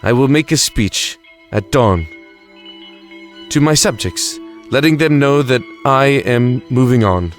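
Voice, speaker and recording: A man's voice: mid-range voice, expressive, slow, faint music behind. A man playing a weary, grandiose time-traveling alien emperor in an audio drama, self-justifying and reflective. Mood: weary, resigned.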